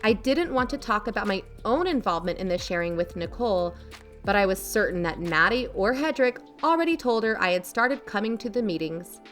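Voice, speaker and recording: A woman's voice: mid-range voice, animated, brisk, fairly bright tone, faint music behind, lightly acted. A woman playing a wary, protective aunt in an audio drama, confiding and dryly skeptical. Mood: wary, wry. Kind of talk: first-person audio drama narration.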